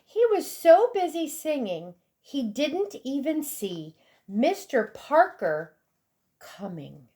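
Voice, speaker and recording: A woman reading a children's storybook aloud expressively, with deliberate, dramatic emphasis for young listeners. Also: sits high, very animated, warm tone. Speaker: storybook reader.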